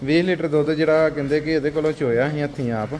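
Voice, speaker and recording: A man with a Punjabi accent, walking through a dairy shed, asking a farmer about prices and pitching the buffaloes to viewers in a casual sales chat. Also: steady tone, a crowd in the background. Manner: brisk, asks prices then pitches to viewers. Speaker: livestock dealer showing buffaloes for sale. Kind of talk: casual on-site sales walkthrough.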